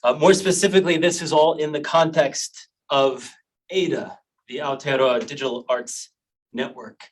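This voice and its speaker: A man chairing an online conference panel, giving a measured, formal introduction that opens with Māori greetings. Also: on the high side, steady tone, distant mic, poor audio. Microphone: video call.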